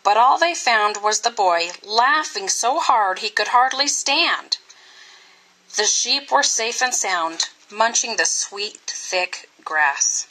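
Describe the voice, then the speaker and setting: A woman reading a children's story aloud in an expressive, scripted style with dramatic, deliberate phrasing. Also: fairly high pitch, rough recording. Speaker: storybook reader.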